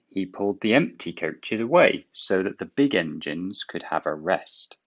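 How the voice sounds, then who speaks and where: A man reads a children's storybook aloud at a slow pace, with lively, expressive intonation. His lowish voice has plenty of creak, and the recording is slightly dull.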